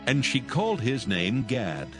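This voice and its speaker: A man's voice: mid-range voice, huge pitch swings, a little slow, music in the background. A man narrating scripture from a script in a solemn style.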